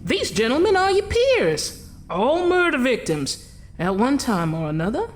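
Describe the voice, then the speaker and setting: A sinister, coolly formal coroner. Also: female voice, high-pitched, huge pitch swings, measured pace, exaggerated voice. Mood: cold. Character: calm, sinister female coroner who claims souls. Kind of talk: radio drama acting.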